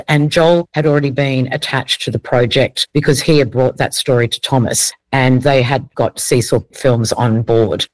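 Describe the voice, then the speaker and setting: A woman's voice: extremely low pitch, dry recording, poor audio. A woman with an Australian accent, talking conversationally as a casting director being interviewed.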